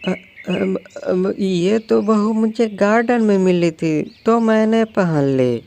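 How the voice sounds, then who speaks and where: Cartoon role: sly, bossy old hen-mother sparrow, high nasal-edged voice, slow and mocking voiced by a man. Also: vocal fry, darkish tone, a little hiss or hum, exaggerated voice. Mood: caught flustered. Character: scheming nagging mother-in-law sparrow, female, older.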